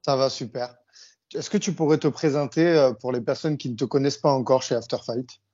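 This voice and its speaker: A male podcast host with a mellow, mid-pitched voice asks his guest plain questions. He pauses briefly now and then. The audio is dull and lo-fi. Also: steady tone. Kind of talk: interview questions.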